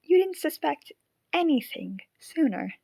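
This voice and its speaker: A woman voicing a curious, dryly teasing haunted female marionette in an audio drama, with measured delivery. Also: high-pitched, very animated, vocal fry, dark tone, dry recording, full character voice. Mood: incredulous.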